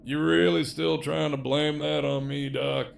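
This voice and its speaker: An actor playing a cocky, sardonic imprisoned villain in an audio drama, with a gravelly, drawling delivery and a taste for profanity. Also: male voice, a little high, level tone, a little slow. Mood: defensive, blunt. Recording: faint background noise.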